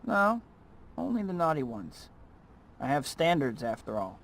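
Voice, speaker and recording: A man's voice: on the high side, sing-song, unhurried, a bit bright, slight background noise, full character voice. A young American man voicing a glib, awkward young superhero in a video game, with music behind him. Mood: cocky, teasing. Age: young adult. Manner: glib, quipping. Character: wisecracking, awkward young male superhero and photographer.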